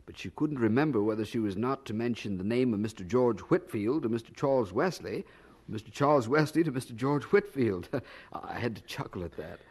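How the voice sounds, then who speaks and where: A man's voice: mid-range voice, lively intonation, a little fast, a little mellow, husky. An actor playing a kindly, eager young churchman in a radio drama, earnest and probing, with a slight stammer. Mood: amused, eager.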